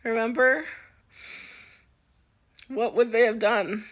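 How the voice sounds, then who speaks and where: A woman's voice: fairly high pitch, steady tone, slow. A woman giving casual, chatty news commentary in an amused, conversational way, as if musing aloud.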